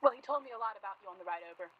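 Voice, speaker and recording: A woman's voice: medium pitch, lively intonation, rapid-fire, husky, narrow, tinny audio. A woman playing a guarded, polite young journalist in a naturalistic radio drama, speaking with reserve and some hesitation. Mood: tentative. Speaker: actor in a radio play.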